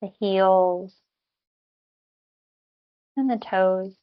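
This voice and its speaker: A woman meditation teacher speaks very slowly, leaving long pauses between short phrases. Her instructions are gentle and unhurried, as in a guided body scan. The recording is slightly dull and lo-fi, with her voice at a medium pitch.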